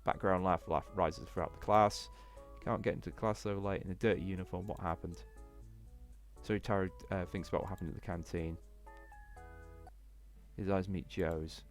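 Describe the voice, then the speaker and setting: A man with a low voice narrates a visual novel over clearly audible music. He reads casually, trips over the odd phrase and drops in blunt commentary of his own. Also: steady tone, normal speed, pauses often. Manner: stumbling over read text, blunt indignant asides. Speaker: let's play host.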